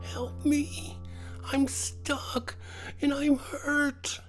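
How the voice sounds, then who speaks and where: A man voicing a small furry creature, pleading softly and sadly in a childlike adult voice for a horror story. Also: extremely high pitch, level tone, soft background music, pitched above the performer's own voice, full character voice. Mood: pitiful pleading. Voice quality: soft. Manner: drawn-out, sad, desperate pleading.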